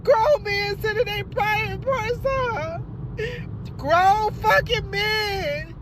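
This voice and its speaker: A woman's voice: extremely high pitch, slow pace, background noise. A distraught mother talks while crying, swearing heavily as she drives to report what she found. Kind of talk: emotional vlog monologue.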